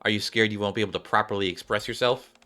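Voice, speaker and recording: A man voicing a calm, reassuring confidant in a game story, gently firm. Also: fairly low pitch, rapid-fire.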